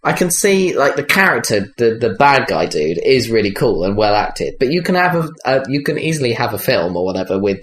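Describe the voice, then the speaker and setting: A man's voice: mid-range voice, very animated, brisk, few pauses. A man chatting casually about video games as a podcast host, rambling and hesitant with frequent swearing. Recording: clearly noisy background.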